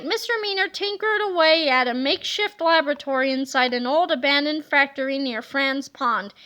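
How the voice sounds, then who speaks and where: A woman reads a children's storybook aloud with lively, expressive narration. Her voice sits high and sounds bright and clear. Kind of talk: reading a children's book aloud.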